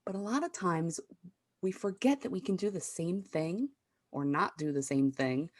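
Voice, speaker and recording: A woman's voice: lowish voice, animated, normal speed. A woman co-hosting a marketing podcast, opening the episode and introducing her guest in an upbeat, chatty way. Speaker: podcast co-host. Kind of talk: scripted podcast intro and interview.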